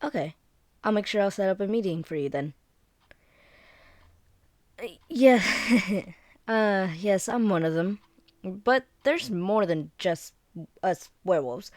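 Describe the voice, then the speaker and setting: A woman's voice: medium pitch, expressive, slow pace, pauses often, no room sound, studio quality. A woman playing a friendly, flustered young werewolf in an audio roleplay, explaining things in a hesitant, informal, rambling way. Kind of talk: scripted one-sided roleplay audio drama, conversational.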